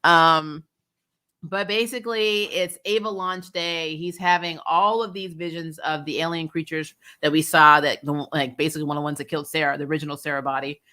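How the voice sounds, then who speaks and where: Bright-voiced woman chatting casually, expressive. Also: deep voice, brisk. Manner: expressive, informal slang, frequent laughing asides. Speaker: YouTube TV show reviewer, host. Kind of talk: casual, opinionated recap chat to camera.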